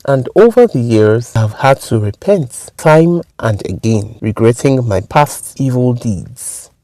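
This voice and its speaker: A woman's voice: very deep voice, lively intonation, slow pace, warm tone, no room sound, voice pushed down, exaggerated voice. A woman voicing a solemn, remorseful man who was turned into a monkey, in a spoken folktale. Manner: solemn, earnest pleading.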